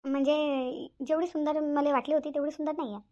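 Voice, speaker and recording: A woman's voice: high-pitched, little pitch movement, fairly quick, mellow, close to an everyday voice. A woman voicing a fussy middle-aged village mother in a cartoon, nagging and complaining with a lilt. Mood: doubtful. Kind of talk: cartoon voice acting. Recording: dull, lo-fi sound.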